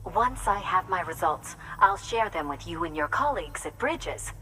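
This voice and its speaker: Low-voiced, eccentric, grandiose female scientist. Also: lively intonation, moderate pace, sharp tone, distant mic, soft background music. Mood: pleased, brisk. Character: eccentric woman scientist studying ancient origins, eager, grandiose. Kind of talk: video game cutscene acting.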